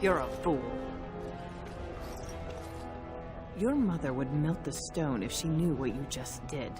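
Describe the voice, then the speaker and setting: A woman playing a shrewd, imperious older dwarf noblewoman in a fantasy game, sharp-tongued and commanding. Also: low-pitched, lively intonation, moderate pace. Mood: scolding. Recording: music behind the voice.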